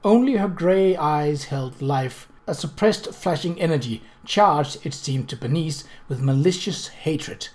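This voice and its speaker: A man with a slightly high voice narrates scripted fiction. His delivery is dramatic and deliberate, as an audiobook reader. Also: expressive, darkish tone.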